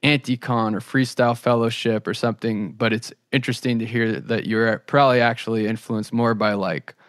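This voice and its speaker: A man's voice: medium pitch, steady tone, brisk, no echo, clean studio sound. A man hosting a podcast interview, casually building long, thoughtful questions with hesitant phrasing.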